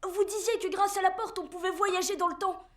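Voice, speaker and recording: A young boy in an animated film. The voice is extremely high and childlike. He comes across as eager and stubborn, always earnest and insistent. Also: a little fast. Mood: pleading, insistent.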